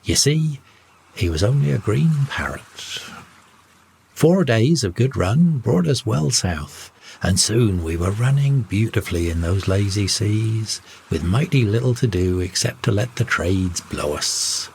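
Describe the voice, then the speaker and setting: A man narrates a bedtime story in a fairly low voice. He takes his time, with very slow phrasing and plenty of melodic movement. A soft layer of ambient noise sits behind him. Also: expressive, vocal fry. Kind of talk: scripted first-person story narration for sleep.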